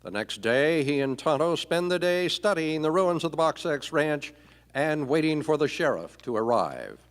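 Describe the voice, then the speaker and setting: A man's voice: a little high, very animated, moderate pace. A man narrating a scripted live Western radio play in the style of an old-time serial. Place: theatre stage, orchestral music behind.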